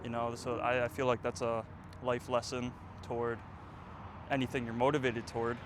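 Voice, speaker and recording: A man's voice: medium pitch, flat delivery, normal speed, music in the background. A man in his twenties vlogging outdoors, rambling and reflective, with lots of fillers. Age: 20s. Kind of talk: casual reflective vlog. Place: outdoors, windy.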